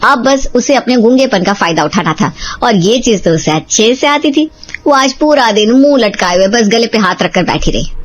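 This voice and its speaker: A woman's voice: fairly high pitch, animated, normal speed. A woman narrating a dramatized family cartoon story as the storyteller. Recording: music behind the voice. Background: background music.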